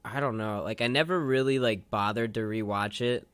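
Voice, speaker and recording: A man's voice: fairly low pitch, moderate pace, a bit bright, smooth, no room sound. A man co-hosting a casual chat show, talking in a loose, rambling, slangy way. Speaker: podcast co-host.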